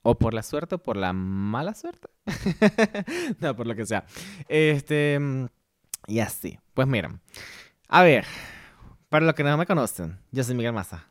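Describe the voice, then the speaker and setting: A Venezuelan man in his thirties chats into the mic, joking at his own expense. His voice sits at an ordinary middle pitch and sounds dry and close, with no room around it. He hosts his own podcast from a home set. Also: sing-song, slow, pauses often, no room sound. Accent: Venezuelan Spanish. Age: 30s. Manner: playful, self-mocking, laughs often. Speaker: podcast host. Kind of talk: casual solo podcast monologue.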